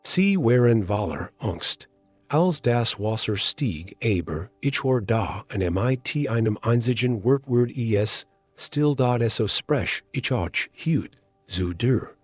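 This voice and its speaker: A man speaking a slow, consoling devotional monologue in the voice of God. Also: mid-range voice, lively intonation, breathy edge, dry recording. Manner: soft, consoling, unhurried. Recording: faint music underneath.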